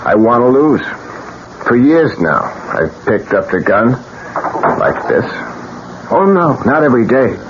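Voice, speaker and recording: An actor playing a bitter, reckless painter with a death wish, sardonic and theatrical, in a 1970s radio drama. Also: male voice, medium pitch, very animated, a little slow, long pauses. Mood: morbid, bitter. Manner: sardonic, theatrical, swinging delivery. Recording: muffled, noisy 1970s radio drama recording.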